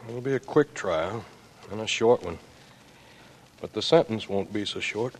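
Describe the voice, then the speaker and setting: A low-voiced young burglar played by a man in an old radio drama. He is weary and guilt-ridden, confessing in a grave, halting, resigned way. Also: a little hiss or hum, lightly acted, low-quality recording. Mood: grim, fatalistic. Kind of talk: 1940s radio acting.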